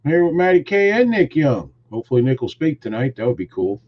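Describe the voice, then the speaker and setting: A man's voice: medium pitch, big pitch swings, brisk, lo-fi sound. A man hosting a casual live stream, greeting viewers and teasing his co-hosts in a joking, rambling way. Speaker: host.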